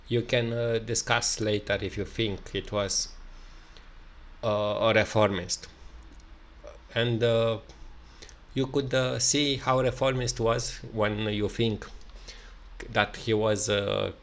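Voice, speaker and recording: A man with a Romanian accent, speaking English in an unscripted solo commentary, halting with many fillers and restarts. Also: lowish voice, steady tone. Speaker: video commentator.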